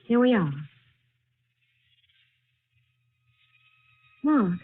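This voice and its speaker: A woman plays a courteous, earnest young office girl in a radio mystery. Her delivery swings widely in pitch and has a slight hesitancy. The old recording is narrow and tinny, with some noise behind her. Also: lively intonation, normal speed, lightly acted. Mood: uneasy. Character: polite, earnest, puzzled young female office worker.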